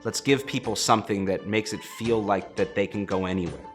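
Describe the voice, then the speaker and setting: A man with a fairly low voice talks in a behind-the-scenes interview. He phrases things wryly and with emphasis. Music plays clearly behind him. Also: brisk. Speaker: theater artistic director.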